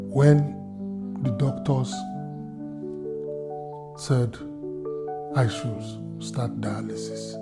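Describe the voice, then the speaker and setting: A man from Nigeria telling his own story in a slow, halting, earnest way, with music under his voice. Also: mid-range voice, creaky. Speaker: fundraiser subject. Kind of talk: personal testimony.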